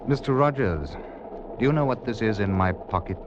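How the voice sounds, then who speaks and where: An actor playing a suave, politely menacing spy villain with mock courtesy in a 1950s radio thriller. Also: male voice, lowish voice, animated, normal speed, lightly acted. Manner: smooth-mannered, mock-courteous. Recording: muffled, noisy 1950s radio recording.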